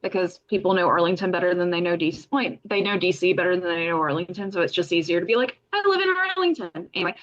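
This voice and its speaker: A woman's voice: fairly low pitch, expressive, fast talker, hardly any pauses. A woman in her thirties chattily introducing herself as a contestant. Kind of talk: casual chatty self-introduction. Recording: dull, lo-fi microphone sound.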